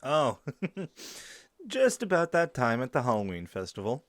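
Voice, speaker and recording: A man's voice: mid-range voice, huge pitch swings, fairly quick, no echo, clean studio sound. A man acting a playful, bold young werewolf in an audio drama. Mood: teasing.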